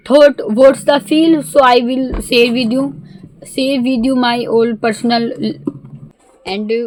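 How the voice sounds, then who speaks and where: A woman with an Indian accent, a Hindi native speaker, talking casually in halting learner English mixed with Hindi. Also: sits high, darkish tone, a little hiss or hum, poor audio. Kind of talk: casual vlog.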